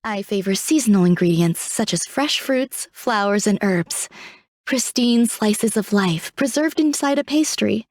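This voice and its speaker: A serene, aloof genius scientist from a video game. She is a refined woman with a medium-pitched voice, speaking with poised, poetic detachment. The sound is very dry, with no room around it. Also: animated, darkish tone, no echo. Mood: serene, reflective. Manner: composed, poetic, measured, coolly detached. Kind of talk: video game character voice lines.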